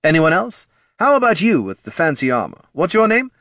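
A man acting chirpy undead marketing skull, high-pitched, swooping delivery, earnest corporate patter, fantasy audiobook character. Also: wildly swooping pitch, brisk, fairly bright tone, no echo, clean studio sound, full character voice. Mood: chirpy, eager.

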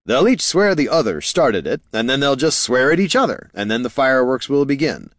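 A man's voice: medium pitch, very animated, a little fast, fairly bright tone, no room sound, studio quality, pitched above the performer's own voice. A male narrator plays a mischievous bard in a fantasy audiobook. The voice sits well above the reader's own, flippant and teasing. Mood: gleeful.

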